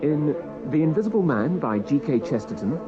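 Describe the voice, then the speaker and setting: Formal radio announcer guy, slightly high voice, music behind him. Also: very animated, normal speed, muffled recording.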